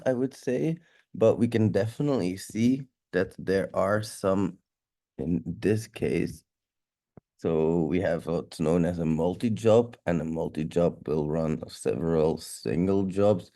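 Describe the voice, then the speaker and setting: Low-voiced man with a stuffy nose. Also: warm tone. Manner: loose, rambling, occasional swearing. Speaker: security instructor. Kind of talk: casual live tech walkthrough.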